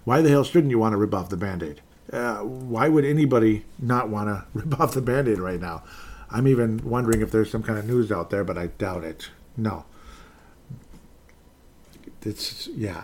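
A man's voice: lowish voice, measured pace, long pauses, darkish tone. A man hosting a casual sports podcast, rambling and correcting himself with wry asides. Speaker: podcast host.

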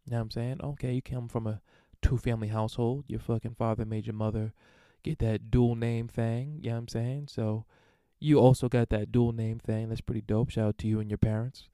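A man's voice: mid-range voice, level tone, brisk, few pauses, dark tone, clean tone, no echo, clean studio sound. A man hosting a solo podcast, reading and answering listener emails in a crude, irreverent, rambling way with plenty of fillers. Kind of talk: casual solo monologue.

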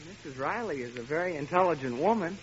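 An actor playing an earnest, overly polite suitor of twenty-one in a 1940s radio sitcom, formal and deferential. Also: male voice, a little high, lively intonation, fast talker, a little hiss or hum, lightly acted. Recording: dull vintage radio broadcast.

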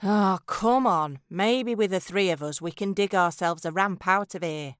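Sardonic female adventurer for audio drama, woman actor: medium pitch, unhurried, blunt, impatient, dry close sound. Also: no room sound, lightly acted. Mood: impatient, bossy. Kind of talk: fantasy audio drama acting.

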